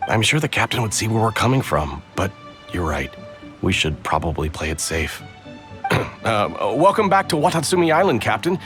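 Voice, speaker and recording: A man's voice: lowish voice, very animated, normal speed, a bit bright. A man playing a hot-headed, distrustful male soldier who talks indignantly. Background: game music.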